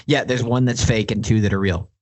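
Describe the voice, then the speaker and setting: A man's voice: lowish voice, fairly even intonation, fairly quick, darkish tone. A man co-hosting a podcast, casually presenting stories for a game. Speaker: podcast co-host.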